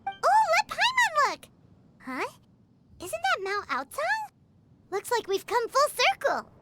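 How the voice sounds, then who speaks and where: A woman voicing a tiny, excitable female floating companion who talks about herself by name in a bubbly way. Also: very high-pitched, slow pace, noisy background, exaggerated voice. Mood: eager.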